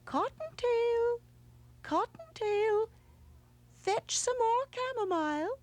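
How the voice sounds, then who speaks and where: A woman voicing an old widowed mother rabbit from a storybook, calling to her children in a drawn-out way. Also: very high-pitched, animated, darkish tone, dry recording, voice pushed up, full character voice.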